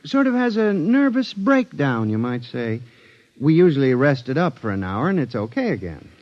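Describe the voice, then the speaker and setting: Male actor as anxious statistician hero of 1950s radio sci-fi; highly expressive, earnest, dulled vintage recording. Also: moderate pace, no echo, natural voice. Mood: nervous, deferential. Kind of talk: 1950s radio drama acting and narration. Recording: muffled vintage radio recording with music behind.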